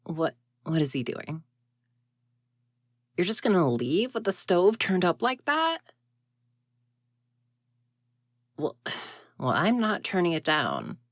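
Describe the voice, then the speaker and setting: A woman's voice: on the low side, wildly swooping pitch, unhurried, no echo, studio quality, heavily acted. A woman playing an exasperated ghost girl in an audio roleplay, huffy and indignant, often muttering to herself. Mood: flustered, indignant. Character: grumpy, exasperated tsundere ghost girl haunting a house.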